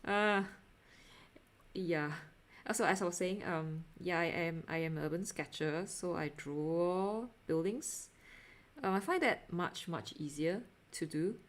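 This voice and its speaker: A young woman with a Singaporean accent and a voice a little on the low side. She talks casually to a livestream audience while drawing, with halting, giggly, self-deprecating delivery. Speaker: art livestreamer.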